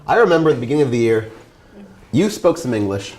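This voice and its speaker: A man's voice: mid-range voice, sing-song, quick pace, a little mellow, faint music behind, low-quality recording. A young man in his twenties, a science teacher, speaking encouragingly with frequent restarts and fillers. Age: 20s. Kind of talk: interview and classroom talk.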